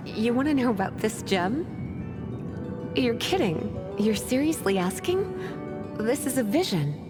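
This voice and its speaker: An actress playing a flirtatious, languid sorceress in a video game, with a playful, drawling delivery. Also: female voice, a little high. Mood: amused, teasing. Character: flirtatious, teasing, languid sorceress, a grown woman. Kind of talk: video game character acting.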